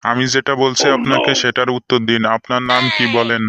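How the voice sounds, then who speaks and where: A man plays a stern, exasperated cartoon boss running a job interview. He questions formally and scolds in an even, narrow-pitched voice, with noticeable noise in the recording. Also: a little fast, lightly acted. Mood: puzzled, impatient. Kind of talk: comic cartoon dialogue.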